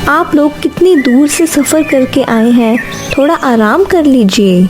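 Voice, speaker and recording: A caring middle-aged mother bird from a cartoon moral story, acted out by a woman. Her voice sits high, and she talks earnestly and politely, coaxing and eager to please. Music plays clearly behind her. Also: animated, unhurried.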